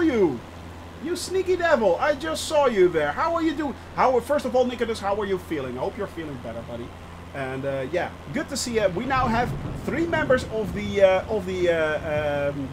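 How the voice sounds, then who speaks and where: High-voiced chatty stream host. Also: male voice, noisy background. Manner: chatty, thinking aloud, greets viewers by name with bursts of excitement; frequent hesitations and restarts while explaining. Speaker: livestream host of a flight simulator channel. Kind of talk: casual live-stream commentary to chat.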